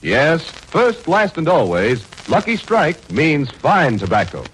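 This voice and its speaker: A man's voice: fairly high pitch, wildly swooping pitch, takes it slowly. An American man announcing a 1940s radio commercial in an emphatic, declarative manner. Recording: noisy 1940s radio recording.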